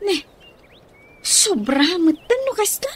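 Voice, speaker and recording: A woman's voice: very high-pitched, very animated, slow pace, exaggerated voice. A woman playing a friendly but scheming godmother in a radio drama, with an Ilocano accent. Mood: curious, attentive. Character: friendly godmother, scheming confidante of a wronged wife.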